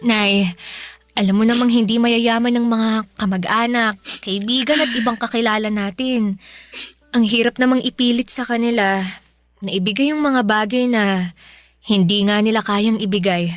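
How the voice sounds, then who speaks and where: An actress playing a gentle, sensible Filipino teenage daughter in a radio drama, earnest and soothing. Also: female voice, a little high, fairly even intonation, moderate pace, no room sound, lightly acted.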